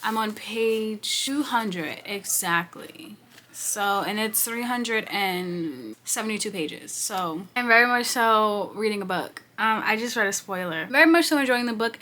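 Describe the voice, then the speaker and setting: A woman's voice: medium pitch, moderate pace, pushed and bright, a little hiss or hum. A young woman in her twenties from New York, chatting casually and rambling through a reading vlog at home, sniffly and congested, recorded on her phone. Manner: chatty, slangy, rambling asides. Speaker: book vlogger. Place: at home, indoors. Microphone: phone camera.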